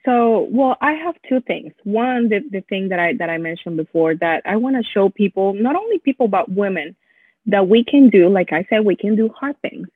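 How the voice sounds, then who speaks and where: A woman's voice: mid-range voice, husky, no echo. Spanish-accented woman guest, chatty DIY creator, dry thin phone-quality sound. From Latin America. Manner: animated, quick storytelling with many fillers. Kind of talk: casual interview chat. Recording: dry tinny phone-like line.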